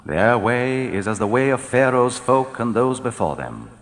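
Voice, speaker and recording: A man reading translated scripture in a solemn, measured, declamatory style, letting his phrases fall at the end. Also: mid-range voice, animated, soft background music. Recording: long hall reverb.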